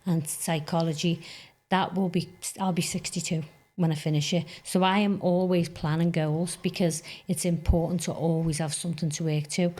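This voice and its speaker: A woman's voice: lowish voice, dark tone. A woman in her fifties, a youth mentor, talking earnestly and telling stories in a podcast conversation. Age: 50s. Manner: earnest, storytelling, emphatic about goals.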